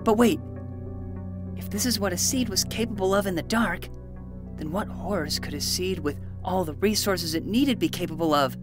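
A woman's voice: lowish voice, normal speed, over music, close to an everyday voice. A woman voicing an eager, self-important twelve-year-old boy who plays detective with dramatic hard-boiled delivery in a fiction podcast. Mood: dramatic, excited. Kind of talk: fiction podcast acting and narration.

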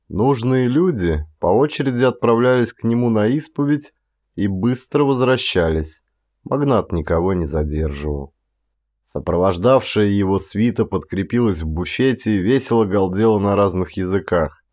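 A male actor narrates classic fiction in a fairly low voice. His delivery is measured and expressive, shaped as dramatic storytelling. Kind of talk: dramatic literary audiobook narration.

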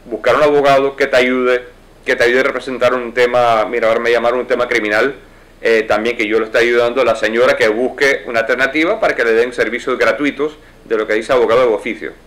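A man's voice: mid-range voice, little pitch movement, quick pace, fairly bright tone. A man in his forties, a lawyer, giving fluent, explanatory answers in a seated interview in an office. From United States. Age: 40s. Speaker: lawyer and judicial candidate. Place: wood-paneled office, indoors.

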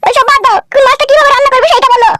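A woman voicing a sharp-tongued, sassy, nagging village wife in a comic cartoon. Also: very high-pitched, steady tone, racing, very noisy. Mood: nagging, mocking. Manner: bickering, taunting, sassy.

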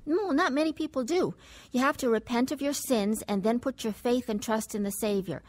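Actress as high-voiced earnest born-again daughter. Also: female voice, normal speed, a little mellow, close to an everyday voice. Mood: earnest, assured. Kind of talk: radio drama acting.

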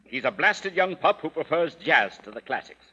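An actor playing a teasing older father in a 1930s radio drama, dry and gruff. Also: fairly high pitch, sing-song, moderate pace, bright, forceful tone, full character voice. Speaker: Mister Lemp. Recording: dull old radio broadcast.

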